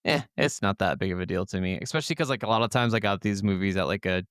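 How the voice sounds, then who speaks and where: Man, podcast co-host, casual gamer chat, informal, crisp voice, dry sound. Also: low voice, fast, fairly bright tone, no echo. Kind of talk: casual chat about video games.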